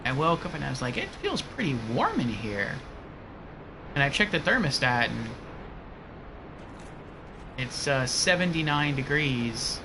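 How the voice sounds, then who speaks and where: Chatty male streamer over loud hiss. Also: medium pitch, a little slow, long pauses. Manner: relaxed, chatty, reads out chat messages. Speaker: streamer playing a game. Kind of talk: casual gameplay commentary. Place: home attic room.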